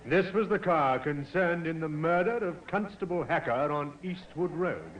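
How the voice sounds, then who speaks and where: An actor playing a methodical British police inspector, measured and dryly authoritative, in a 1950s radio drama. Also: male voice, fairly high pitch, expressive, close to an everyday voice. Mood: grave, firm. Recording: muffled, hissy 1950s radio recording.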